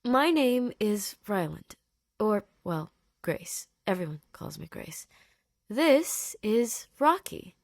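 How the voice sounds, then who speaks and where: A woman voicing a gentle, earnest male scientist in audio fiction, soothing and slightly rambling as he reassures. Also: mid-range voice, sing-song, takes it slowly, no room sound, studio quality, pitched above the performer's own voice. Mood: gentle, explaining. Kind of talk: character dialogue in audio fiction.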